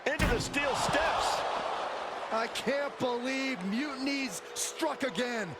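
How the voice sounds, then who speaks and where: A man's voice: very high-pitched, slow. A man acting as an urgent, emphatic pro wrestling TV commentator in a video game, calling the action play-by-play. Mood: urgent, excited. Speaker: sports commentator. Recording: very noisy arena ambience.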